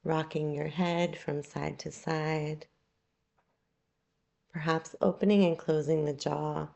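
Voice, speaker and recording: A woman leading a guided relaxation meditation as a yoga nidra guide, calm, soothing and unhurried, with long pauses. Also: deep voice, creaky.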